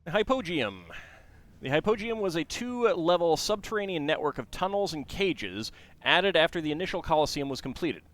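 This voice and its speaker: A man's voice: on the high side, lively intonation, moderate pace, fairly bright tone. Chatty man streaming a Lego build. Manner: chatty, reads facts aloud, then talks to chat. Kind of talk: casual livestream chat while building, reading aloud.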